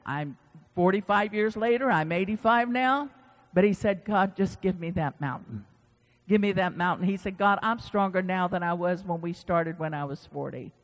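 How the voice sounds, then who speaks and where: High-voiced preacher man in a reverberant hall. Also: moderate pace, fairly bright tone. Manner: conversational, emphatic repetition, folksy asides. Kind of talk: informal sermon with scripture reading.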